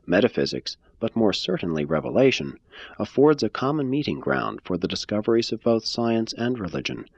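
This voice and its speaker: A man giving a measured, phrase-by-phrase scripted reading of a religious text. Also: mid-range voice, lively intonation, vocal fry, darkish tone, husky, dry recording, dull audio. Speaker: reader.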